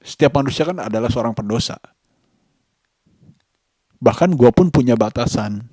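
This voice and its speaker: A man, giving a casual vlog monologue in halting, deliberate short phrases. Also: mid-range voice, lively intonation, dark tone, slightly rough, dry recording. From Indonesia. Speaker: vlogger.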